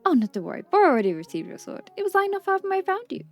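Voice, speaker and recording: A woman voicing a kindly male tea shop owner who speaks with formal, quaint, gently polite phrasing. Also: extremely high pitch, sing-song, rapid-fire, faint music behind, pitched above the performer's own voice, full character voice. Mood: calm, courteous.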